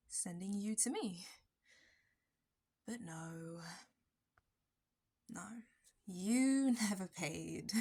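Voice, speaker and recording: A woman playing an obsessive, possessive barista kidnapper in an ASMR roleplay, intimate and breathy, slipping between whispers and bitter intensity. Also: mid-range voice. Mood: bitter, hushed. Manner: intimate, breathy dropping into whispers, nervous laughs and sudden bitter flares.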